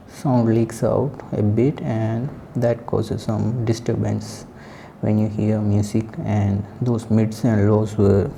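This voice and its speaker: Dark-voiced, gravelly man reviewing gadgets. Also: lowish voice, a little hiss or hum. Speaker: tech product reviewer. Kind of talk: casual unscripted product comparison.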